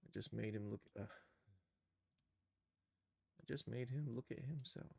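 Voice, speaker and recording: A man's voice: medium pitch, unhurried, creaky, darkish tone, slightly rough, no echo. A man giving casual commentary as he works through an animation tutorial on a livestream, muttering to himself as he goes. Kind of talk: casual screen-work commentary, thinking aloud.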